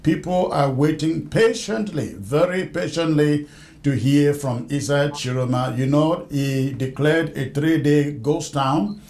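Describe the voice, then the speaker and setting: A man's voice: a little high, a little slow, a little mellow. A man speaking as an interviewer on a political call. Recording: echoey, roomy sound.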